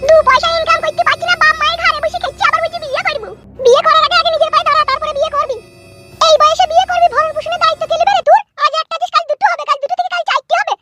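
A shrill cartoon village mother, middle-aged and sharp-tongued. She scolds very fast in an extremely high, bright voice. Light music plays behind her. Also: steady tone. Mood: scolding. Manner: nagging, rapid-fire scolding. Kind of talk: animated comedy cartoon dialogue.

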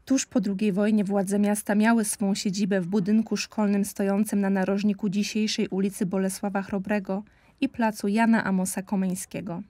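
A woman delivers scripted documentary narration. Her voice is mellow and relaxed, at a middle pitch. The sound is dry, with no room around it. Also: moderate pace, dry recording.

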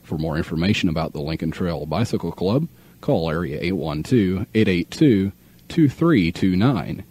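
A young American man reading local radio announcements in a measured, formal announcer manner, on an old aircheck tape. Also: low voice, lively intonation, warm tone, husky. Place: radio studio.

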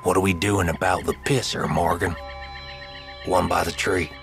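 An actor playing a tough, crude American frontier outlaw in a western game, folksy and matter-of-fact. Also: male voice, deep voice, fairly even intonation, moderate pace, vocal fry. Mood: low, terse. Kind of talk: video game western acting. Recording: music behind the voice.

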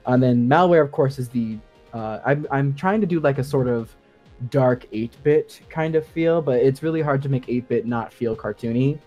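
Bright-voiced man explaining his craft casually. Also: medium pitch, moderate pace, faint music behind. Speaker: guest sound designer. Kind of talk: casual podcast discussion.

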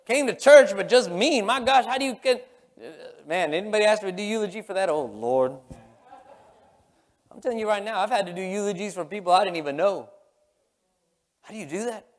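High-pitched male preacher in a reverberant hall. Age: middle-aged. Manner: emphatic, repetitive, swinging from loud exclamations to hushed murmurs. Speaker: pastor preaching. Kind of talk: sermon. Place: large church sanctuary, on stage. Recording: long hall reverb from sanctuary.

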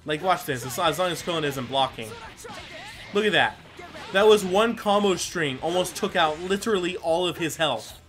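A man's voice: on the high side, lively intonation, moderate pace, fairly bright tone, faint music behind. A man giving casual, rambling gameplay commentary as the host, self-deprecating and given to mild swearing. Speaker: gaming video host.

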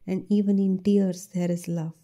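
A woman speaking an intimate romantic monologue to a loved one, soft and tender, sometimes whispering. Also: fairly low pitch, flat delivery, moderate pace.

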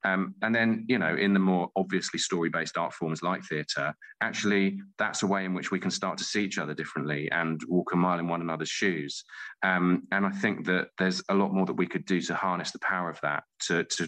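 Deep-voiced man in conversation. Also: steady tone, a little fast, a bit bright. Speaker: interview guest, playwright. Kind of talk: conversational interview answers, storytelling.

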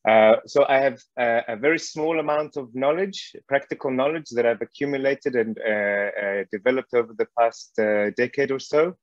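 A man's voice: medium pitch, normal speed, few pauses, dry recording. A man in his thirties from South Africa giving an informal online talk, hesitant with wry humor. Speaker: guest lecturer. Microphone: wireless earbuds on a video call. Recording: muffled video call audio.

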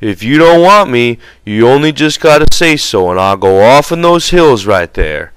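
A man voicing a simple, childlike, eager ranch hand in an audiobook, with drawn-out, ungrammatical rural speech. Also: medium pitch, lively intonation, a bit bright, clear, resonant tone, no echo, voice pushed up, close to an everyday voice, poor audio. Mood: crafty, sulky.

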